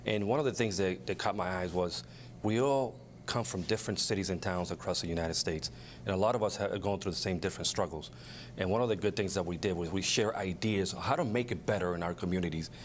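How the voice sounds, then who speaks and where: A man from the Dominican Republic answers the host's questions in a plain, earnest way. His voice is low and he talks quickly, with a faint rumble behind him. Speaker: panel guest. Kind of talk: talk show interview.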